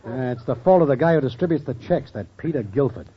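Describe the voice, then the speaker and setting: A man's voice: mid-range voice, animated, fast, slightly rough. An actor playing a brash, lazy, wisecracking unemployed boyfriend in an old radio sitcom, full of slangy wise-guy talk. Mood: griping. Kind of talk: 1940s-50s radio sitcom acting. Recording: dull, noisy old radio recording.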